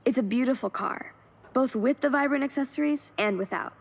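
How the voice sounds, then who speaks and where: A woman's voice: high-pitched, a little fast, gravelly, slightly rough, heavily acted. A woman playing a keen car hunter in a video game, speaking with enthusiasm and admiration. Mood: admiring, friendly. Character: keen young woman car hunter finding lost classics. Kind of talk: scripted video game dialogue.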